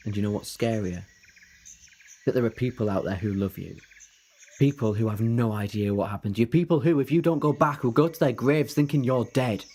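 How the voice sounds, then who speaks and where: A man's voice: mid-range voice, brisk, a little mellow, close to an everyday voice. A man playing an eager, ageless time-travelling adventurer in an audio drama, earnest and persuasive with flippant asides. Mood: earnest, consoling. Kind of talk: fan audio drama acting.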